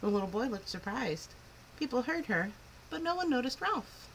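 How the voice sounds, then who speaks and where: A woman reading a picture book aloud to children in an expressive, clearly phrased storytelling style. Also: fairly high pitch, moderate pace, slight background noise, low-quality recording. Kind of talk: animated children's story narration.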